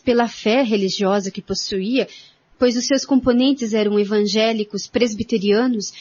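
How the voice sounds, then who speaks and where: Husky, fairly high female radio narrator. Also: a little mellow. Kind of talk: scripted dramatic narration of a radio serial. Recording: dull recording with music.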